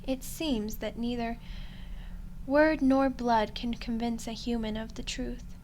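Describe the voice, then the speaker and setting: Woman playing a slow-speaking, smug ancient demoness. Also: a little high, steady tone, a little mellow, slight background noise, rough recording. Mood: musing, revealing. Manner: slow, condescending, deliberate, with dramatic pauses. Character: ancient female demon, smug, condescending, coolly menacing deal-maker. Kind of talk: roleplay ASMR audio drama.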